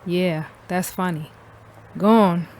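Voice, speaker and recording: Slow-talking streetwise tarot hustler. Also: female voice, on the low side, steady tone, a little mellow, slight background noise. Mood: unimpressed. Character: streetwise, unimpressed young female tarot-card hustler. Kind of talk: audio drama acting.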